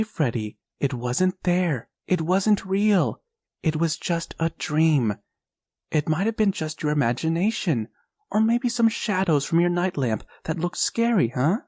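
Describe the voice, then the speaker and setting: A soft, hushing mother comforts her child in a raised, gentle voice, as a man reads her part. Her tone grows weary and patient, and the recording is dry and close. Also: on the high side, lively intonation, measured pace, no echo, voice pushed up. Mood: soothing. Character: tired, soothing young mother. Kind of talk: storybook reading.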